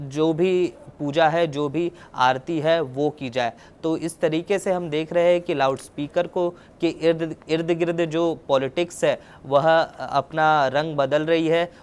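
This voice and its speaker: Clear-voiced male news presenter, slightly high. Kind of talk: news report with live explanatory commentary.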